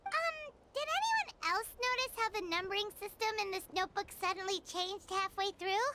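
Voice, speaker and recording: Squeaky, very high childlike game companion. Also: female voice, lively intonation, moderate pace, heavy background noise. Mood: puzzled, questioning. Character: tiny childlike companion creature, curious and excitable. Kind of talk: English video game voice acting. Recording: loud game sound behind the voice.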